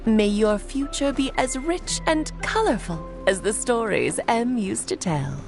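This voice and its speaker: A woman's voice: on the high side, animated, unhurried, vocal fry, music in the background. A woman playing an elegant, teasing, mysterious witch in a video game, poised and theatrical. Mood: gracious. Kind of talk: video game character acting.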